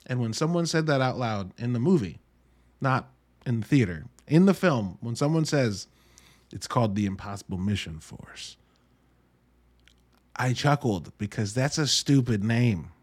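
A man hosting a podcast, giving an informal, wry solo review in an emphatic, halting way with drawn-out words. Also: mid-range voice, lively intonation. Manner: informal, wry, mildly profane. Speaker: podcast host reviewing films. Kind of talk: casual solo review monologue.

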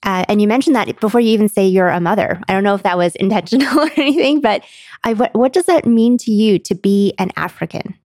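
A woman's voice: mid-range voice, quick pace, darkish tone, no room sound, studio quality. A woman hosting a podcast interview, speaking in an earnest, welcoming way. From China. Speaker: podcast host.

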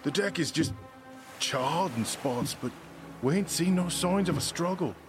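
An actor playing a nervous, deferential common sailor in an audio drama, speaking hesitantly in rough, unschooled grammar. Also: male voice, fairly high pitch, lively intonation, a little slow, over music. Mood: alarmed.

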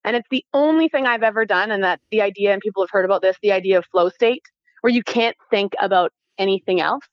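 A Canadian-accented woman telling stories casually as an interview guest, animated and informal, acting out bits of dialogue as she goes. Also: mid-range voice, fast, no room sound, dull audio. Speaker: interview guest, former Olympic athlete. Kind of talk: casual conversational storytelling.

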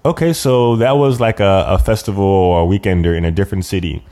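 Mellow, low-voiced man interviewing. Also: a little fast. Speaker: podcast host, interviewer. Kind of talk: conversational interviewing.

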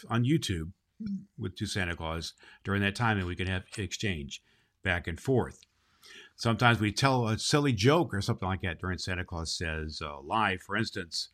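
Jolly old Santa played by a man for a children's holiday show; fairly low voice, folksy, emphatic delivery. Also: a bit bright. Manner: folksy, emphatic, repeats phrases. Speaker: host. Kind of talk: children's holiday show hosting and story reading.

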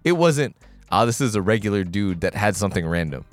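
Animated man riffing on an anime. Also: fairly low pitch, a little fast, faint music behind. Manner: animated, swears freely, acts out scenes. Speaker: podcast co-host. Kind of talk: casual chat.

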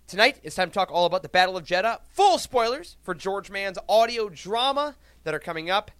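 A man's voice: high voice, very animated, brisk, fairly bright tone, clear, resonant tone, no echo. A man hosting a podcast, delivering a scripted introduction to the show. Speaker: podcast host.

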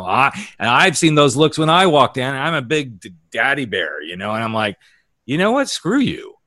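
A middle-aged man hosting a casual conversation, candid and animated, acting out the dialogue he quotes. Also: on the low side, no room sound. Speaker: podcast host and author. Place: US West Coast.

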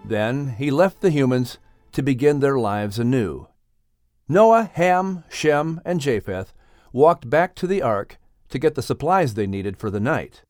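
A man's voice: mid-range voice, sing-song, measured pace, darkish tone, no echo, studio quality, faint music behind. A man hosting and narrating a scripted story for a family audiobook show. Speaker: host and narrator.

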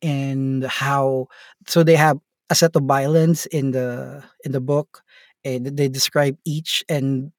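Relaxed Filipino man, rambling. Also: medium pitch, takes it slowly, dry recording, studio quality. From Philippines. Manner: rambling, relaxed, many fillers and tag questions. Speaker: game master guest. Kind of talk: casual interview.

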